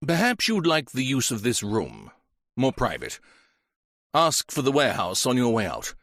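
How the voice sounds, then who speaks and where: A man doing a brisk, curt businessman. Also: medium pitch, huge pitch swings, fairly bright tone, no echo, studio quality. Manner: hurried, curt. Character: brisk middle-aged businessman, dismissive.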